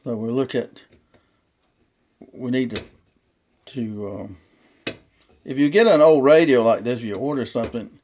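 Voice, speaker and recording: A man with a mid-pitched voice talks through a casual, unscripted demonstration as a hobby collector. He explains things in a folksy, rambling way. His delivery is halting, as he works out what to say next. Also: darkish tone. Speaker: vintage radio collector presenting a radio.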